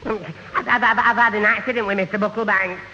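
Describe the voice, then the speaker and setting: A man's voice: sits high, fairly even intonation, brisk, bright, forceful tone. An actor playing a dim, nervous, bumbling young man who stammers and muddles his words in a radio sitcom. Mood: nervous, muddled. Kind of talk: 1970s radio sitcom acting. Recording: muffled old radio, hiss, hall reverb.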